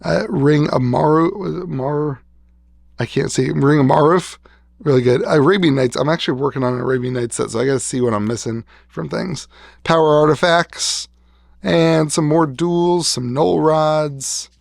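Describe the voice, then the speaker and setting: A man with a gravelly, fried tone, his voice worn down and half lost after an illness. He rambles through a casual vlog, restarting sentences and getting excited over old cards. The sound is dry and close, with no room echo. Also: on the high side, normal speed. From West Virginia. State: losing his voice after being sick. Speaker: card shop owner showing a collection. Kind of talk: casual show-and-tell vlog.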